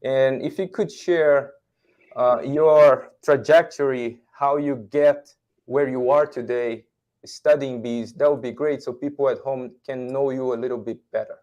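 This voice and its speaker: A man's voice: medium pitch, steady tone, measured pace, a little mellow, muffled recording. A man hosting a livestreamed podcast interview, speaking conversationally to his audience and guest. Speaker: podcast host.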